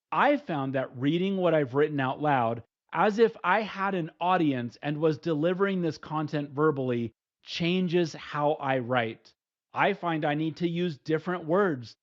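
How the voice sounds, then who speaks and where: A middle-aged man giving steady, plain, numbered advice in a scripted instructional talk. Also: fairly high pitch. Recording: dull lo-fi recording.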